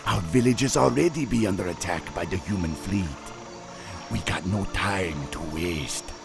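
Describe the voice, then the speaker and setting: A man's voice: deep voice, animated, a little slow, over music, heavily acted. A man voicing a proud male troll chieftain who speaks in an island patois, in a video game cutscene. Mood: urgent. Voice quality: gravelly.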